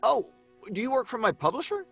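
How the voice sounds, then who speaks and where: An actor playing a flippant, excitable story writer in an old-time radio drama, breezy and bantering, mockingly unafraid. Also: male voice, extremely high pitch, fairly quick, gravelly, slightly rough, faint music behind, exaggerated voice. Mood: breezy, curious.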